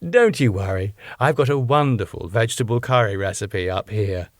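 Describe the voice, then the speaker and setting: A man voicing a deadpan older British landlady. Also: fairly low pitch, moderate pace, no room sound, clean studio sound, lightly acted. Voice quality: deadpan, matter-of-fact. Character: deadpan older woman, club owner's wife.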